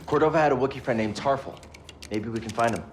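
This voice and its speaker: An actor playing a reserved, earnest young Jedi adventurer in a video game, with an understated, sincere delivery. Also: male voice, medium pitch, fast talker, a little hiss or hum, natural voice. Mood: determined. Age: young adult. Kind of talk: cinematic game dialogue. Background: music.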